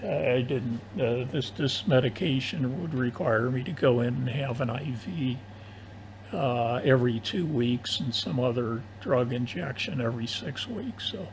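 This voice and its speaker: An older man chatting casually over amateur radio in long turns, with a halting, hesitant delivery. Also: medium pitch. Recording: noisy amateur AM radio audio.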